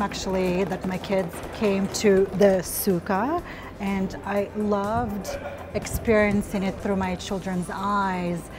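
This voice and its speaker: Mother speaking in a level, flat tone. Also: mid-range voice. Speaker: parent. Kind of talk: personal interview.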